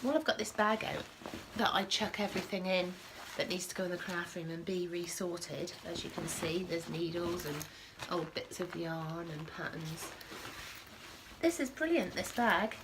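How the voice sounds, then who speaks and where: A woman's voice: fairly low pitch, moderate pace, background noise. Chatty sniffly woman podcaster. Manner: chatty, rambling, self-correcting with frequent ums. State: sniffly with a starting cold. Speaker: podcast host. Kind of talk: casual knitting podcast chat. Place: at home.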